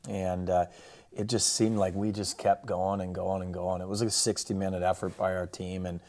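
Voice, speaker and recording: A man with an American accent, a football coach talking casually through a game recap in a plainspoken, halting way. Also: low voice, level tone, a little mellow. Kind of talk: casual sports recap and highlight commentary.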